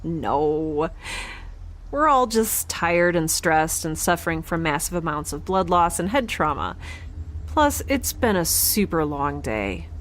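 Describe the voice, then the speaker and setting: A woman playing an injured, stubborn scientist in an audio drama, talking in a halting, defensive, naturalistic way. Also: deep voice, sing-song, heavily acted. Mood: dismissive denial. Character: injured, stubborn, rambling woman scientist, dazed but defiant.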